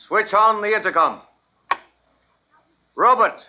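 A man's voice: high voice, slow, noisy background. A man playing a stern, coldly formal military colonel in a 1950s radio drama, speaking in commanding, clipped phrases. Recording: muffled vintage radio recording.

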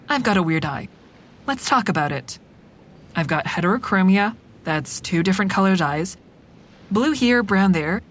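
An actress playing a wry, hard-edged woman who narrates her own story in a frank, deliberate dramatic style. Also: natural voice. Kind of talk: scripted first-person dramatic narration. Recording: clear rumbling traffic noise behind.

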